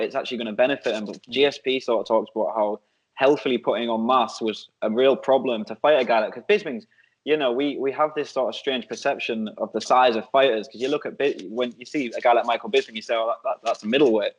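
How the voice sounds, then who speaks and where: A young man giving a fluent, measured, opinionated sports analysis as a co-host. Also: mid-range voice, fairly even intonation. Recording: slightly dull lo-fi audio.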